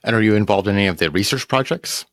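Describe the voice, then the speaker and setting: Host: quick-talking man, lowish voice, plain questions. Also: a bit bright, dry recording, studio quality. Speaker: podcast host. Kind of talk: casual interview questions.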